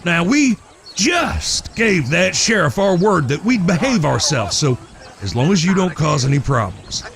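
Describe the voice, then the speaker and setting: An actor playing a gruff, protective eldest brother of Old West gunslingers, with a folksy American drawl and an authoritative way of talking. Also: male voice, on the high side, big pitch swings, a little slow, heavily acted. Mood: stern warning. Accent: American, Southern or Western drawl. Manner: authoritative, folksy, plain-spoken. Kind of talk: Western audio drama acting.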